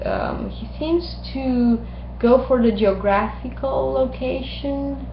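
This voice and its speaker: A woman from Romania in her thirties, giving a recorded mini-lecture with many ums and pauses. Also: high-pitched, creaky, a little hiss or hum. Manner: thoughtful, many ums and uhs, halting. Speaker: instructor. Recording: roomy, dull lo-fi recording.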